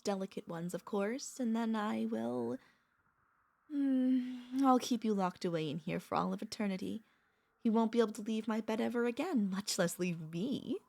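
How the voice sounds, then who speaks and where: Woman acting a doting, creaky-voiced vampire mistress. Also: mid-range voice, darkish tone. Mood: playfully menacing. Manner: tender, teasing, halting, intimate. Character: doting, possessive two-hundred-year-old royal vampire mistress. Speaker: voice actor and vtuber. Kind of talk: intimate ASMR audio roleplay.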